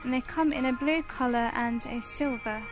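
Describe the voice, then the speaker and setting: A woman's voice: high voice, moderate pace, over music. A British woman narrating a casual shopping vlog, listing items in short descriptive phrases. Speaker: shopping vlogger. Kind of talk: casual shop-with-me product showcase narration.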